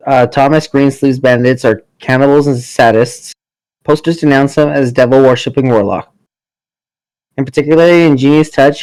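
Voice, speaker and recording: A man's voice: mid-range voice, darkish tone, no echo, rough recording. A man playing a party game with friends, reading story narration aloud in between casual remarks. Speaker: player reading narration and his character's lines.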